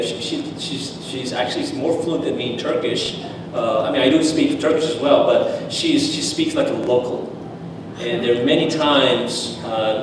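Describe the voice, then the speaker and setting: A man tells a personal story at a church seminar, speaking with lively restarts and filler words. His voice carries a long, booming reverb that fills the room. He sounds distant from the microphone, with faint room ambience behind him. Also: background noise. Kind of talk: personal testimony talk. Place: church hall. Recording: echoey hall, far from the mic.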